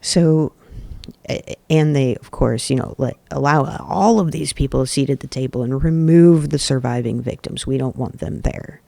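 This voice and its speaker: Male podcast host, earnest advocacy commentary, dark mellow tone, voice a bit off today. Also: medium pitch, creaky, dry recording. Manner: earnest, emphatic, deliberate repetition. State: voice a little off today.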